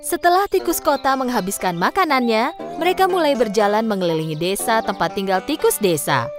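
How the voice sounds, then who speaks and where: A woman's voice: on the high side, animated, brisk. A woman narrating a scripted children's story. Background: music.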